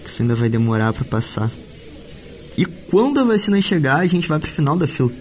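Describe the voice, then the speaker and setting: A man playing an easygoing, chatty young student flatmate in an audio drama, talking casually with a Brazilian accent. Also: fairly high pitch, fairly quick, slight background noise. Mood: resigned, easygoing. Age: young adult. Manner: colloquial, casual.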